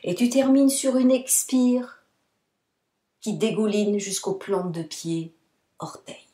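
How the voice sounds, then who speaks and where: A woman leads a guided relaxation as a yoga nidra teacher. Her delivery is very unhurried and soothing, with short phrases set apart by pauses. The voice is mellow and soft-edged, in a roomy, echoing sound. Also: mid-range voice, lively intonation. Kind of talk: guided relaxation meditation, spoken instructions.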